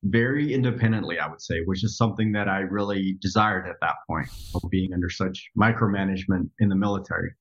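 Low-voiced guy in his 30s, stops and restarts a lot, kinda muffled lo-fi audio. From the Netherlands. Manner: reflective, halting, with many restarts. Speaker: podcast guest, software developer. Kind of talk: casual interview storytelling.

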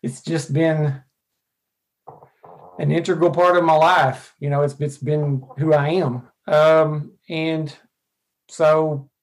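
A man in his fifties with an Arkansas accent, a podcast guest speaking thoughtfully and in measured phrases with frequent pauses. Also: a little high, level tone, poor audio. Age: 50s. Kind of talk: reflective podcast conversation.

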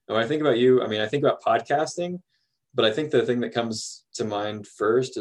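Male podcast host, low voice, curious and conversational. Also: poor audio. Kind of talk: interview questions.

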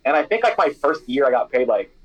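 A man's voice: medium pitch, fast, slightly rough. Chatty young man, slightly muffled audio. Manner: chatty and animated, many fillers like "you know" and "like". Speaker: snack company founder, former radio DJ. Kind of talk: casual interview answers.

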